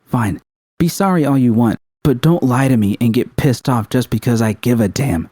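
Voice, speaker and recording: A man's voice: mid-range voice, normal speed, dark tone, no room sound, clean studio sound, lightly acted. A man voicing a blunt, worried, hot-tempered young woman who confronts her partner in audiobook dialogue. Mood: accusing, angry. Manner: profane, confrontational, pressing.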